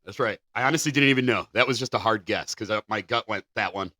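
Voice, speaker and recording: A man talking casually as a podcast co-host and quiz contestant, halting a little and repeating his words. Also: fairly low pitch, pushed and bright, no echo, clean studio sound. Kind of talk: casual chat.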